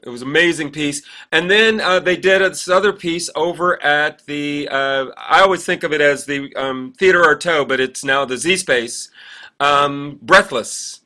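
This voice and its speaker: A man's voice: a little high, moderate pace, bright, forceful tone. A man co-hosting a podcast, chatting casually in a rambling, anecdotal way. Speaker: podcast co-host. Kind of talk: casual chat.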